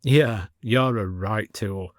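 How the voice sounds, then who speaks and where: A man's voice: on the low side, takes it slowly, no room sound, studio quality. Wry antique shop owner, read by a man. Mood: wry, gruff.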